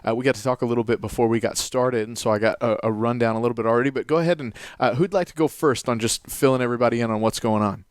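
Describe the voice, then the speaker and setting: A man's voice: mid-range voice, rapid-fire, hardly any pauses, smooth, no echo, studio quality. A man hosting a live radio show, upbeat and enthusiastic, with frequent filler words. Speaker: radio host.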